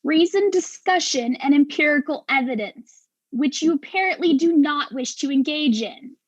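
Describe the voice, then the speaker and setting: A woman acting an exasperated, sharp-tongued professional in a darkly comic mystery play, arguing with precise sarcasm. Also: high-pitched, normal speed, no room sound, highs cut off, natural voice. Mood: indignant.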